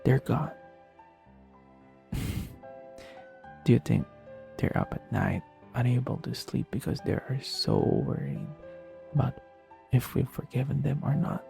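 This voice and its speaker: A man playing a gentle, caring best friend, speaking in a soft, breathy voice with soothing, earnest, unhurried delivery in an intimate comfort roleplay over music. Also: mid-range voice. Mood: calm, consoling. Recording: music under the voice. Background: soft instrumental music.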